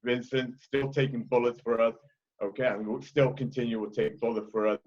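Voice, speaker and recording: Man, Liverpool-tinged British accent, loud, blunt, repetitive, mid-pitched, lo-fi sound. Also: fairly even intonation, brisk. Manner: loud, blunt, repeats "okay?" often. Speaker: conference speaker. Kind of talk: heated panel talk.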